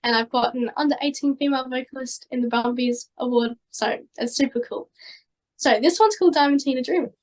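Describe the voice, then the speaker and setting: A young woman, a singer chatting casually as a guest, talking fast with lots of fillers and a slightly hoarse voice. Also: high-pitched, a little mellow, rough recording. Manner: chatty, many fillers, quick bursts. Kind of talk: casual interview chat.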